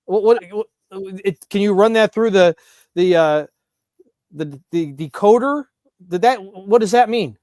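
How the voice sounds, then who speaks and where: A man hosting a sports podcast in a conversational, animated way, repeating phrases for emphasis. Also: sits high, unhurried, no room sound, studio quality.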